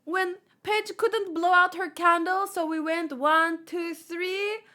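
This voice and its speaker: A woman doing an emotionally expressive read-along reaction, gushing and gasping as she goes. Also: very high voice, slow pace. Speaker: manga reader reacting on video.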